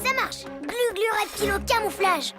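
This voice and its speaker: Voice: very high-pitched, expressive, moderate pace, over music. An actor voicing a cheeky, eager six-year-old boy superhero in a children's cartoon.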